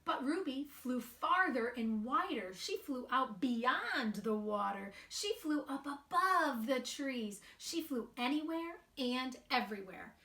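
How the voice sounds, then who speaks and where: A woman with a fairly high voice reads a children's story very slowly and expressively. She leaves emphatic pauses between phrases. The sound is roomy and echoing. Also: expressive, pushed and bright. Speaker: picture book reader. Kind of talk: read-aloud children's storytelling.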